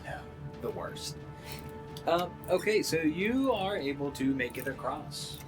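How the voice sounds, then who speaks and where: A man's voice: on the high side, big pitch swings, a little slow. A man casually narrating and running a tabletop game as game master. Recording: music playing behind. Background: music.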